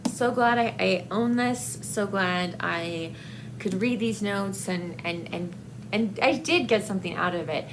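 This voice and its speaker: A woman book vlogger talks casually and haltingly, with a noticeable creak in her voice. She rambles and pauses often as she feels for her words. There is faint room noise behind her. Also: mid-range voice. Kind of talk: casual book review chat to camera.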